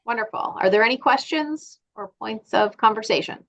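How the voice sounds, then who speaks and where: A woman chairing a formal board meeting. She calls votes in brisk, procedural phrases. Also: a little high, gravelly, fairly bright tone. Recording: dull, lo-fi sound.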